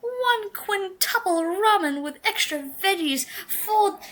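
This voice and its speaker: A woman's voice: extremely high pitch, expressive, takes it slowly, a bit bright, slight background noise, pitched above the performer's own voice, heavily acted. A woman voicing a cheerful, hungry young male office coworker in a game, in a bubbly, eager way.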